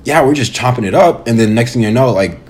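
A young man co-hosting a casual podcast, telling stories in slangy, profane, animated talk. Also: mid-range voice, fast talker. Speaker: podcast co-host. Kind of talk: casual chat and storytelling.

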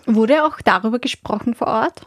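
A woman's voice: a little high, moderate pace, no echo. A woman hosting a conversational interview in a radio studio. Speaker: radio show host.

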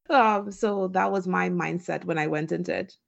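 A woman from Trinidad and Tobago answers as a podcast guest. Her voice is fairly low with plenty of vocal fry, and she talks informally and reflectively, posing questions and answering them. The call audio is dull and lo-fi. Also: a little slow. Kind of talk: conversational interview answers.

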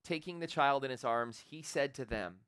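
A man in his forties preaching a sermon in a church, loud and animated, building up intensity. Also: medium pitch, moderate pace, clear, resonant tone, no echo. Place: church sanctuary with a congregation. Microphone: handheld or stage microphone.

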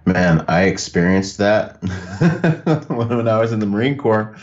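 A laid-back man from the Bahamas talks with a very deep voice, telling loose stories as a podcast guest. He laughs easily and keeps it casual. The audio is dull and lo-fi. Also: measured pace. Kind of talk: casual conversational storytelling.

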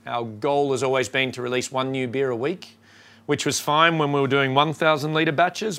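An Australian man with a gravelly, creaky voice talks to a crowd about his brewery. He speaks in a relaxed, halting way, with lots of pauses and fillers. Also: mid-range voice. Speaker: brewery co-owner. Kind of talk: casual talk to a shop crowd.

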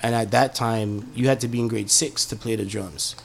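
A man's voice: on the low side, steady tone, normal speed, creaky. Laid-back man telling stories. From Toronto, Canada. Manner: laid-back, slangy, keeps saying "you know what I mean". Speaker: musician guest. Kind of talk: casual interview storytelling.

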